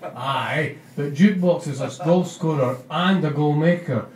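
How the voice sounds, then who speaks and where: A man's voice: fairly high pitch, measured pace, darkish tone, slight background noise, natural voice. A man voicing a dry, sardonic young male junkie with a Scottish accent. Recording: roomy, distant mic.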